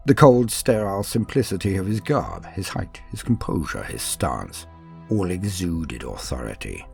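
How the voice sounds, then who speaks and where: A man with a very low, creaky voice tells a dramatic horror tale. His phrasing is grave, deliberate and full of suspense, with faint music underneath. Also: lively intonation. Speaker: storyteller. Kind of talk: dramatic scripted horror fiction narration.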